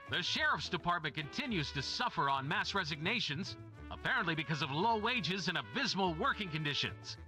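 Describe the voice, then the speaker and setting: A breezy 1950s island radio announcer in a game, high-pitched and lively. He is showy and wry, and his bright delivery rides over faint music. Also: full character voice, poor audio. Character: chirpy folksy 1950s small-island radio announcer, male.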